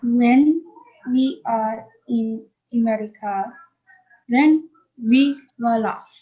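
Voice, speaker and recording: A woman playing a solemn refugee child with grave, deliberate speech in a 1930s radio drama. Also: fairly high pitch. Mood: solemn, resolute. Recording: dull old radio recording.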